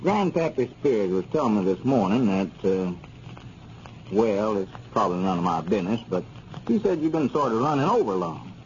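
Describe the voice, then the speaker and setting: A man's voice: fairly low pitch, very animated, normal speed. A man playing a reasonable, earnest small-town American townsman in a 1930s radio comedy serial. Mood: concerned. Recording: muffled, noisy 1930s radio recording.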